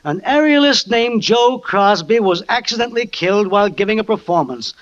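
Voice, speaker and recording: A jumpy, evasive circus manager. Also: male voice, high-pitched, animated, a little fast. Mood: defensive. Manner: defensive, stammering. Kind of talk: 1940s radio acting. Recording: dull old radio recording.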